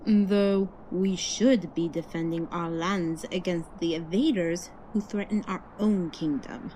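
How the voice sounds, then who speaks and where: A proud younger elf sister voiced by a woman, a defiant warrior with a slightly low voice. Her delivery is formal and pointed, in a fantasy audio drama with faint background noise. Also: steady tone, measured pace, dark tone, close to an everyday voice. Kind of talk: fantasy audio roleplay acting. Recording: faint noisy ambience.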